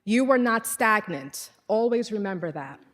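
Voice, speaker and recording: A woman in her forties with a Trinidadian accent, speaking as commencement speaker in an earnest, measured and exhorting manner. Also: medium pitch, animated, a bit bright, in a large hall. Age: 40s. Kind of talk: formal commencement speech. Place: large hall.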